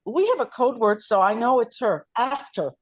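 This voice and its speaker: Woman acting an expressive, firm mother. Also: a little high, normal speed, slightly rough, dry recording. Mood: firm, skeptical. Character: Anna, smiling mother and actress, firm and skeptical. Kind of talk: scripted table read drama.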